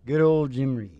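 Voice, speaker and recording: A man's voice: on the high side, highs cut off. An older man in casual, rambling, jokey stage banter as a music show host, speaking into a stage microphone. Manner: rambling, jokey, with frequent chuckles. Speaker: host of a music podcast and singer. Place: small curtained indoor stage. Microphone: stage microphone on a stand. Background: acoustic guitar.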